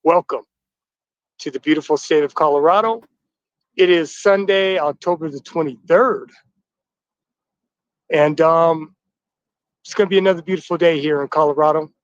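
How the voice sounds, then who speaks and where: Man, 50s, stuffy from flu, higher-pitched voice, upbeat and welcoming, dull dry lo-fi livestream sound. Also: moderate pace, long pauses, clean tone, no echo. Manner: upbeat, welcoming, addressing the fathers. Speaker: host of a livestream. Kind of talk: livestream address to viewers.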